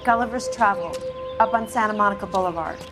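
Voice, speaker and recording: A woman's voice: medium pitch, fast talker, music in the background, natural voice. An actress playing a concerned, talkative female neighbor in a video game, with a plain, confiding manner. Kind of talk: naturalistic video game acting.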